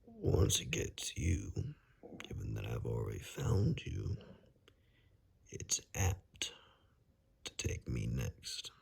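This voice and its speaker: A man playing a calm, wry forest ranger in a hushed ASMR roleplay, speaking slowly in a breathy, gravelly whisper with dry understatement. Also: extremely low pitch, slight background noise, heavily acted. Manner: slow, hushed, dryly understated.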